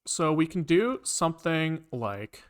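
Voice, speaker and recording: Clear-voiced man muttering through live coding. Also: a little high, sing-song, slow pace. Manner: rambling, muttering to himself, repeats words; casually profane. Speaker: programmer livestreaming coding. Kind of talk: casual think-aloud coding commentary.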